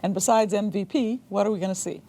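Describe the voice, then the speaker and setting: A woman's voice: medium pitch, quick pace, creaky, dark tone. An American woman hosting a television magazine show and putting questions to her guests. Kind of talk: interview hosting.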